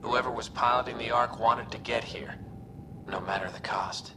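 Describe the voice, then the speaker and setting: An actor playing a gruff, intense and driven male alien soldier in a video game. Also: mid-range voice, steady tone, moderate pace, noisy background, natural voice. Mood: grim. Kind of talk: video game cutscene acting.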